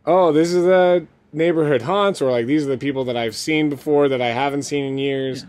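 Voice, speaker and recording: A man's voice: a little high, a little fast, darkish tone. A man with an American accent hosting a casual interview in a chatty, supportive, effusive manner. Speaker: interview host. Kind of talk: casual chat.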